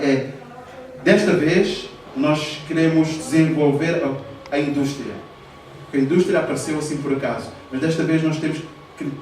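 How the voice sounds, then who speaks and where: A man's voice: on the high side, a little mellow. A man, speaking at a live talk about the music industry in an earnest, hesitant way, often restarting his phrases. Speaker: interviewee, hip-hop artist. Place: café hall, live event. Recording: roomy echo, distant mic, faint noise.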